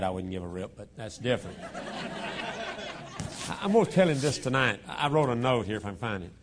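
Hoarse folksy preacher. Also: male voice, medium pitch, noisy background. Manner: folksy, self-deprecating, chuckling asides. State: hoarse from a cold. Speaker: guest preacher. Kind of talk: joking sermon opening. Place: church auditorium. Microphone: lapel mic.

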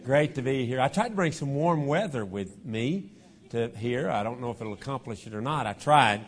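Guest preacher, man, a little hoarse, folksy and joking, lapel mic in a church. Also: medium pitch, animated, normal speed. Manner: folksy, self-deprecating, chuckling asides. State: hoarse from a cold. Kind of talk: joking sermon opening. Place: church auditorium.

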